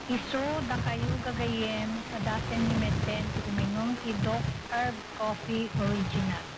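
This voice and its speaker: A woman's voice: a little high, moderate pace. A woman in her sixties with an Ilocano accent giving a testimonial for a radio advert. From Ilocos, Philippines. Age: 60s. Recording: very noisy, loud steady hiss.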